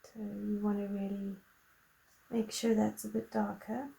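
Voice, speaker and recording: Slow, croaky woman with a gravelly voice. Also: medium pitch, little pitch movement, poor audio. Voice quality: slow, soft-spoken and gentle. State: croaky voice with a lingering cough. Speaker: coloring tutorial host. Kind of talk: relaxed chatty tutorial.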